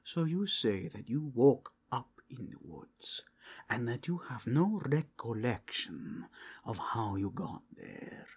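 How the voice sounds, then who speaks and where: A man voicing a suave, probing cardinal of a fantasy Inquisition in an ASMR roleplay, measured and formal, with a breathy, whispery delivery. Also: mid-range voice, lively intonation. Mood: intrigued, hushed.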